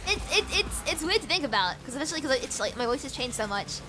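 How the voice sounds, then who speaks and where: A bubbly young woman chatting to her viewers while she plays, her voice high and swooping all over the place. She giggles often, trips over repeated words and slips between British and American accents, with game music playing behind her. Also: big pitch swings, fast, low-quality recording. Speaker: streamer. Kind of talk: casual chat while gaming.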